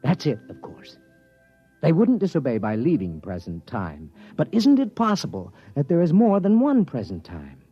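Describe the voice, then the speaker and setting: A man's voice: a little high, huge pitch swings, moderate pace, slightly rough, heavily acted. An actor playing an anxious father and time-travel experimenter in 1950s radio drama style, with an American accent. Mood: brooding, desperate. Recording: vintage radio broadcast, dull, music underneath.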